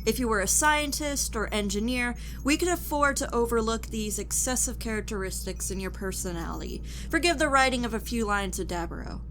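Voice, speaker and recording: Woman acting a cold, high-voiced futuristic judge. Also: expressive, a little fast, hardly any pauses, fairly bright tone, a little hiss or hum. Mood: scornful. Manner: clipped, officious, coldly formal. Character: stern, contemptuous female military judge of a futuristic technocracy. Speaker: actor. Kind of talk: audio drama acting, formal courtroom interrogation.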